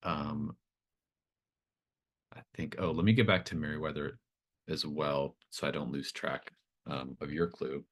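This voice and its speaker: A man's voice: a bass voice, steady tone, measured pace, long pauses. A man acting as game master, improvising narration for a tabletop game in a hesitant, thoughtful, informal way.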